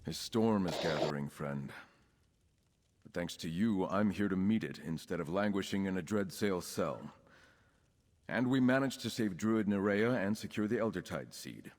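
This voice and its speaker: Young noble knight, lowish voice, earnest, formal, resolute, fantasy game dialogue, long hall reverb. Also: male voice, lively intonation, normal speed. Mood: grave, grateful. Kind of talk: video game quest dialogue.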